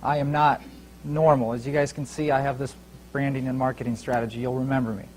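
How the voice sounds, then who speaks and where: A man's voice: medium pitch, flat delivery, quick pace, darkish tone, slight background noise, low-quality recording. A man in his twenties from Ukraine who moved to the United States, giving an informal motivational talk as an entrepreneur, addressing listeners directly. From Ukraine, later Fort Wayne, United States. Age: 20s. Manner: informal, talks directly to the audience.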